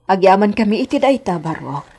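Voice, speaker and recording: An actress with a Filipino Ilocano accent playing a gentle, resigned village mother in a radio drama, with a husky voice. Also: medium pitch, animated, fairly quick. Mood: resigned, accepting.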